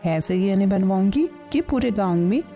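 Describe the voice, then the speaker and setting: A woman's voice: mid-range voice, lively intonation, moderate pace, warm tone, lightly acted. A woman playing a greedy, scheming village farmer's wife in a kids' cartoon, with a nagging, commanding manner. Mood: gleeful scheming. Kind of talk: Hindi kids' cartoon story dialogue. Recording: music under the voice.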